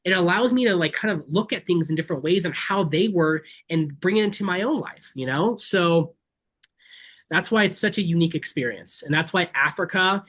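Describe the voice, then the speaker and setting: Earnest, passionate man pitching safaris. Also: normal speed, a bit bright. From Kenya. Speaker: safari tour operator, presenter. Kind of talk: informal webinar sales pitch. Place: online video call.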